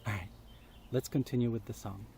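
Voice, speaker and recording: A man's voice: lowish voice, steady tone, brisk, vocal fry, dark tone, slight background noise. A man tells children's stories outdoors in a simple, inviting way, speaking directly to his young listeners. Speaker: storyteller reading for children. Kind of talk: children's storytelling.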